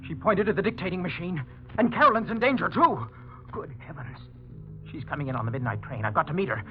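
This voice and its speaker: An actor playing a haunted, overwrought murder suspect protesting his innocence in 1940s radio mystery style, swinging between hushed dread and outbursts. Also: fairly high pitch, animated, fast, soft background music, close to an everyday voice. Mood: alarmed. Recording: muffled, noisy 1940s radio recording.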